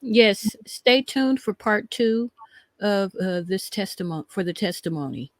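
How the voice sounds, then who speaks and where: Reaction show co-host, medium pitch, emphatic and repetitive, conversational, very dry recording. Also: expressive, a little slow, no echo.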